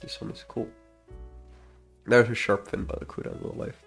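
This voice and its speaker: A man's voice: fairly low pitch, huge pitch swings, moderate pace, gravelly, soft background music. A man with a slightly congested voice gives casual, unscripted commentary as a game developer, rambling hesitantly and often trailing off mid-thought. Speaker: indie game developer presenting a devlog.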